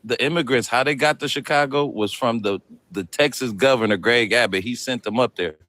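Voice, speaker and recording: A man moderates a heated live panel, firing pointed questions and cutting in to challenge his guests. His voice sits in an ordinary middle pitch, neither high nor low. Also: a little fast, fairly bright tone. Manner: presses with pointed questions, cuts in often. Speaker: host of a live call-in panel.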